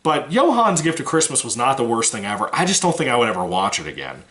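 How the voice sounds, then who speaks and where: A man's voice: mid-range voice, very animated, fast, a bit bright. A man giving a casual, rambling movie review, frank and swearing now and then, his voice still recovering from a cold.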